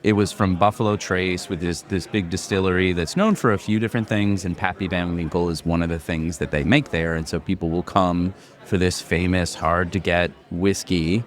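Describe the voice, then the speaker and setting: A man's voice: sits low, fairly even intonation, normal speed. A man hosting a podcast in loose, rambling casual chat, full of "like" fillers. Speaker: podcast host.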